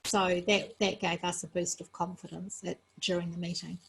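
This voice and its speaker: A woman from New Zealand, a sports federation president, talking as an interview guest in a measured, earnest way, tired after being up all night. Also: lowish voice, flat delivery, vocal fry, a little hiss or hum.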